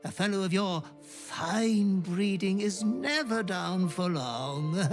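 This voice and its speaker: An actor voicing a fawning, gleefully depraved fiendish butler creature in a video game, theatrical and florid. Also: male voice, high voice, animated, slow pace, faint music behind, exaggerated voice. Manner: theatrical, obsequious, florid wording. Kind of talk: video game character acting.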